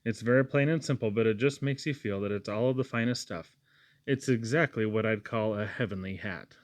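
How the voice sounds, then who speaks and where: A man voicing a fussy, warm-hearted housewife in a storybook, with an emphatic, homely scolding manner. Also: fairly low pitch, brisk, dry recording, close to an everyday voice. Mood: plain, modest.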